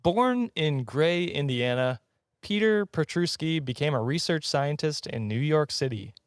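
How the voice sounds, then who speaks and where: A man's voice: fairly high pitch, animated, a little slow, no echo, studio quality. A man hosting a comedy podcast, telling stories informally with amused, jokey asides. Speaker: podcast host. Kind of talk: casual comedic podcast storytelling.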